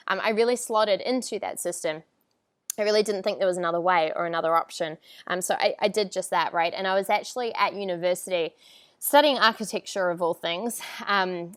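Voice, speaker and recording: A woman's voice: fairly low pitch, no room sound. Upbeat woman from New Zealand, dry close sound. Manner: upbeat, chatty, often laughs and asks viewers for a yes. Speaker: online coach hosting a live training. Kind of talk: live-streamed motivational talk. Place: outdoor terrace with palm trees. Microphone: wired earphone mic on a video call.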